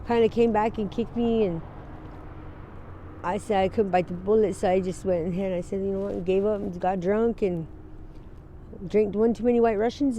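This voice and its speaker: A woman in her forties from Wyoming, telling her story in a halting, rambling way during an outdoor street interview. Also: medium pitch, mellow, a little hiss or hum. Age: 40s. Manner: rambling, halting, laughs easily.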